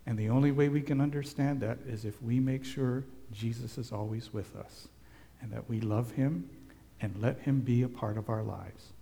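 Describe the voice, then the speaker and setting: A man who grew up in Hawaii, giving a gentle children's sermon as a pastor and asking the children questions. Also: mid-range voice, normal speed, gravelly. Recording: long hall reverb.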